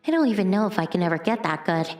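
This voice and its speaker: A woman's voice: medium pitch, expressive, very fast, darkish tone, faint music behind, lightly acted. A woman playing a shy, earnest young step-sister in an anime-style manga dub, speaking in a hesitant, meek way. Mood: quietly awed.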